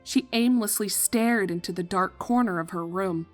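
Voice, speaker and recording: A woman's voice: medium pitch, moderate pace. Woman narrating a story over music. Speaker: narrator, fan fiction reader.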